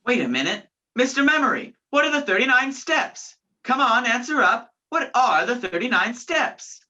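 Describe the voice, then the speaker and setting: A woman's voice: fairly low pitch, sing-song, moderate pace, sharp tone, full character voice. A woman playing a determined, commanding man on the run in a radio play. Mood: insistent, commanding. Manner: urgent, commanding. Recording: dull lo-fi virtual recording.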